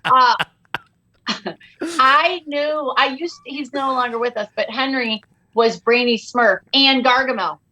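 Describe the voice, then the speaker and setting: A middle-aged woman joking her way through a podcast chat with big, exaggerated flair. Her voice is bright and a touch high, with a sharp ring to it. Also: measured pace, low-quality recording. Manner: animated, jokey, exaggerated. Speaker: comedian guest. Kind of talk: comedic podcast banter.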